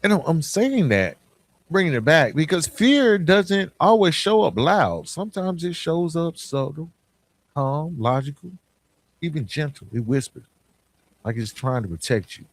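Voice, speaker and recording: Emphatic man with lively, swooping delivery. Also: on the high side, a little slow, no room sound. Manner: emphatic, repeats key phrases, acts out inner voices; colloquial, informal address. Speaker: podcast host. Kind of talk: motivational monologue with storytelling and rhetorical questions.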